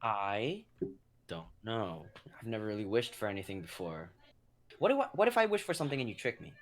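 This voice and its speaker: A man's voice: fairly low pitch, very animated, a little fast. A man playing a bewildered, skeptical ordinary guy, hesitant and questioning, in a comedic dramatic reading.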